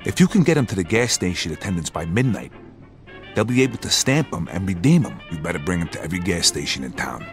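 An actor playing a hard-nosed, businesslike mobster fixer in a game cutscene, speaking tersely and bluntly with an American accent. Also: male voice, sits low, very animated, fast, faint music behind. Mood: irritated. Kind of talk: video game cutscene acting.

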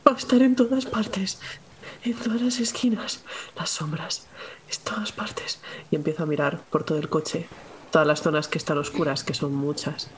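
A woman's voice: sits low, normal speed, slight background noise. A woman improvising in character as a tabletop role-player and narrating what her character does.

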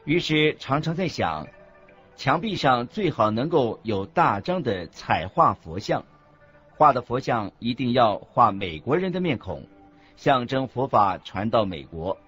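A man playing a Buddhist monk teacher in a scripted radio lecture, husky, expressive and didactic. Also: medium pitch, unhurried, full character voice. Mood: earnest recounting. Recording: dull lo-fi recording with faint music.

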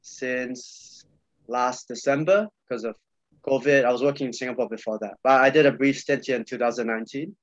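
A man's voice: mid-range voice, fairly even intonation, moderate pace, no echo. A young man from Malaysia, chatting loosely as a podcast guest over a video call, full of fillers and repeats. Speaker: podcast guest, jiu-jitsu coach. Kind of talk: casual video-call chat. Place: small room indoors. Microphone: video call webcam. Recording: dull, dry video-call audio.

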